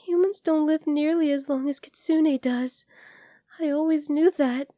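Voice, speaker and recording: A woman voicing a devoted, clingy kitsune fox spirit in an ASMR roleplay, speaking softly and intimately straight to the listener. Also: sits high, fairly even intonation, unhurried, no room sound, studio quality. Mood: grieving, lonely. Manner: soft, tender, intimate, addressing the listener directly.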